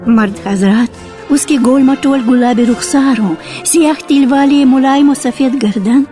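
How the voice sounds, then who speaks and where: High-voiced woman narrating slowly. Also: dark tone. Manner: measured storytelling. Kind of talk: scripted radio drama narration. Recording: archival radio with faint music.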